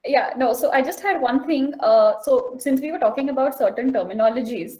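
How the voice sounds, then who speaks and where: A woman's voice: high voice, fairly quick, a little mellow. A woman asking a question in a casual podcast conversation, curious and questioning.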